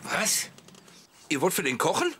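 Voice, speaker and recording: An actor playing a grumbling, jealous macho husband in a comic radio play, blunt and sarcastic. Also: male voice, high-pitched, wildly swooping pitch, a little slow, fairly bright tone, exaggerated voice. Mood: indignant. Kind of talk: radio play comedy dialogue. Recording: clear background noise.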